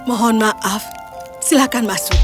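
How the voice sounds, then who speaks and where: A woman playing a caring mother and her excitable young daughters in a children's fairy tale, expressive and earnest. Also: fairly high pitch, unhurried, music in the background, close to an everyday voice.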